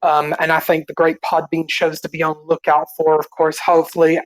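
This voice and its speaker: A man co-hosting a podcast, politely reading out show plugs in an informal, halting way. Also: a little high, monotone, no echo, poor audio. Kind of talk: informal announcement of show schedules.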